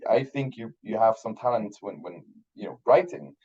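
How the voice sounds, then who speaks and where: Mellow-voiced Swiss man, rambling chat. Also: on the low side, moderate pace, slightly rough, lo-fi sound. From Switzerland. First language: Swiss German. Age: 30s. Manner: rambling, many restarts and fillers. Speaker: guest filmmaker. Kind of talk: casual interview chat.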